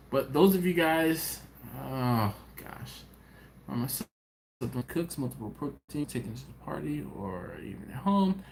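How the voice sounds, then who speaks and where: Man, live-stream host, loose conversational delivery with restarts, echoey room with faint background noise. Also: mid-range voice. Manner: rambling, talks to viewers directly, restarts often. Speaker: barbecue channel host. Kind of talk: casual live-stream chat, reading viewer comments. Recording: roomy echo, faint ambient noise.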